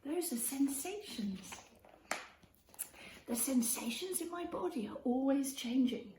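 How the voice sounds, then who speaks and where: A woman reading a children's story aloud in an expressive way, speaking directly to young listeners and prompting them. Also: sits high, slow pace. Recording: roomy echo with faint background noise.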